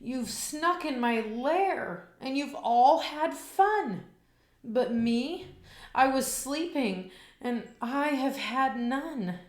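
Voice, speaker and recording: A woman's voice: sits high, animated, drawn-out words, reverberant, pitched above the performer's own voice, heavily acted. A woman reading a picture book aloud, voicing a big grumpy male bear in a whiny complaining voice.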